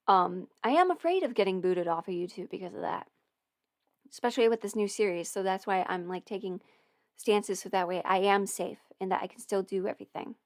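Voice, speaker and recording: A woman's voice: medium pitch, no echo, studio quality. A young woman hosting a casual livestream Q&A as an audio performer, chatty and meandering, with plenty of fillers and restarts. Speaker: audio performer and channel host.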